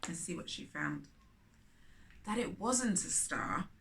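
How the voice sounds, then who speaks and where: A woman's voice: on the low side, expressive, slow, creaky, background noise. A woman hosting a children's storytime, reading a picture book aloud expressively with chatty asides.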